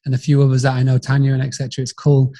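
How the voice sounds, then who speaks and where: A middle-aged Yorkshireman speaks as a podcast guest, with an ordinary mid-pitched voice. He tells stories conversationally, wandering from point to point with a bit of self-mockery. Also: monotone, rapid-fire, mellow. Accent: Yorkshire English. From Yorkshire, England. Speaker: podcast guest, business founder. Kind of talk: conversational storytelling in an interview.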